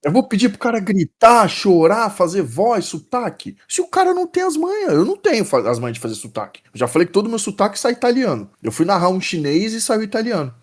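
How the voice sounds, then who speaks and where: A man's voice: sits high, big pitch swings, fast, hardly any pauses. A Brazilian man hosting a casual podcast roundtable about tabletop games. He talks animatedly, laughs often and swears casually. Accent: Brazilian Portuguese. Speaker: podcast host.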